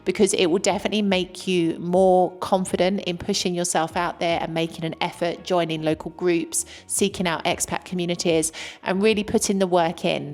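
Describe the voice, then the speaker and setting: A woman's voice: on the low side, a little mellow. A British woman talking earnestly to camera as a vlogger, speaking candidly in long, flowing sentences. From Britain. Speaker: vlogger living abroad. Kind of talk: casual talk to camera. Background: faint background music.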